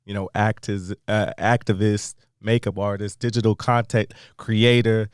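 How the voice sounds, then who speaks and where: A man co-hosting a podcast, asking questions in a relaxed, joking way. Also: on the low side, a little slow, clean tone, dry recording, clean studio sound. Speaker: podcast co-host. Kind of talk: casual podcast conversation.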